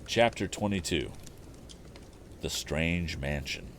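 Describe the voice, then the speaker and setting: Low-voiced man hosting a story stream. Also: lively intonation, slow pace, fairly bright tone, a little hiss or hum. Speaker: storytime livestream host and reader. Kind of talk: casual stream chat and book narration.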